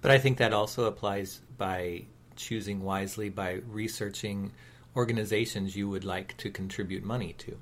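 A man co-hosting a talk radio show, speaking in an earnest, measured and encouraging, reflective way. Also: lowish voice, steady tone, fairly bright tone.

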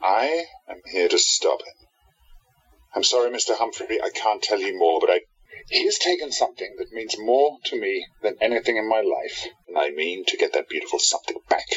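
Wronged radio-drama hero, male actor: low and husky, highly animated, emphatic, dull audio. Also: moderate pace. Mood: earnest warning. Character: intense wronged hero, bitter enemy of the villain.

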